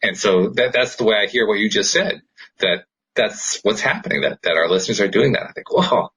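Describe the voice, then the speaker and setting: A man's voice: on the low side, animated, a little fast, a bit bright, breathy edge. A man hosting a podcast, chatting casually and explaining his thoughts in an earnest way. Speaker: podcast host. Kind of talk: casual conversational podcast chat.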